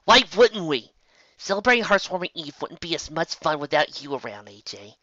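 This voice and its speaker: Reader as a loud, eager pegasus mare, pitched up. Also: big pitch swings, moderate pace, dry recording, muffled recording. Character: brash, sporty young pegasus mare.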